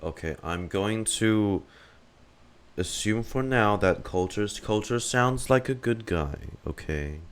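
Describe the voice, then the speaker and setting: A man's voice: fairly low pitch, lively intonation, slow pace, clean tone. A man giving casual live stream commentary on a game, rambling and thinking aloud. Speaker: streamer reacting to a video game.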